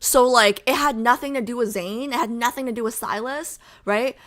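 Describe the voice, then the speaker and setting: A woman's voice: a little high, moderate pace, a bit bright. A young adult woman streamer chatting casually with her viewers, bubbly and full of fillers. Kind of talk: casual chat with live chat.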